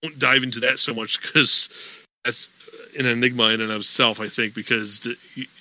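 A man's voice: medium pitch, fairly even intonation, moderate pace, pushed and bright, low-quality recording. A man hosting a sports podcast, talking casually and at length with plenty of fillers. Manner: conversational, many fillers, explains at length. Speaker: podcast host.

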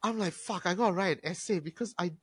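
A young Malaysian man with a Malaysian English accent, chatting casually on a podcast as an animated, profane storyteller who mimics customers. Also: high voice, fast talker, breathy edge, dry recording. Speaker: podcast guest, former bartender and film reviewer.

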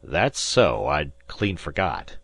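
A man voicing a grudging old fishing-schooner captain in slow rustic dialect. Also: rumbling low, very animated, creaky, a bit bright, husky, no room sound, voice pushed down, heavily acted. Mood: gruff.

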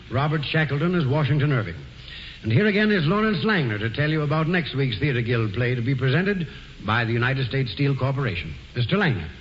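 Formal 1940s radio announcer man. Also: on the high side. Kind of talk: scripted announcement. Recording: dull lo-fi 1940s radio broadcast with hiss.

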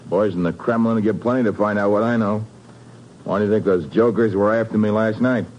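A man's voice: lowish voice, a little fast, a little mellow, dull audio, natural voice. An actor playing a rueful, defensive American construction supervisor in a 1950s radio drama, talking tough, regular-guy slang. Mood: rueful, casual. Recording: noisy old radio recording.

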